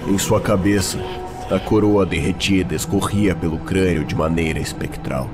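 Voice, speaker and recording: A man with a Brazilian accent giving grave, deliberate, dramatic narration of a fantasy story. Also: deep voice, over music.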